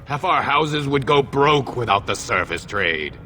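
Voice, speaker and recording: An actor voicing a haughty, belligerent dwarf lord, forceful and scornful, in a fantasy game. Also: male voice, mid-range voice, expressive, measured pace, soft background music. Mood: scornful, threatening. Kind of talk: dramatic fantasy game acting.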